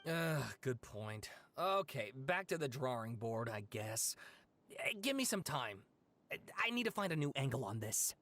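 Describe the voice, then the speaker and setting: Young male student, game role: middle register, very varied pitch, earnest and fretful. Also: very animated, measured pace, fairly bright tone. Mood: deflated. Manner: hesitant, earnest. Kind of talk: English video game voice acting.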